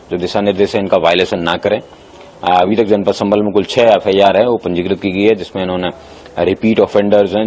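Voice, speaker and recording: Police official, male, deep voice, formal and halting. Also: a little mellow. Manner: formal, halting with frequent filler sounds. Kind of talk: official press statement.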